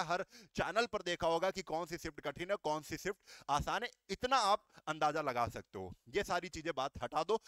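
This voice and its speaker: A man's voice: high voice, a bit bright, no room sound. A man teaching exam aspirants, explaining step by step, asking questions and answering them himself. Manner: explains step by step, asks and answers himself, calls students "beta". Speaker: coaching teacher. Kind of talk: exam guidance talk.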